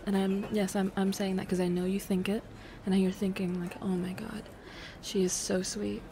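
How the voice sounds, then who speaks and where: A woman's voice: medium pitch, little pitch movement, moderate pace, natural voice. A woman playing a playful, affectionate secret girlfriend in an intimate roleplay audio, hesitant and trailing off as she teases. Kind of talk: intimate roleplay audio, casual improvised-sounding chat. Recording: noticeable cafe ambience noise behind.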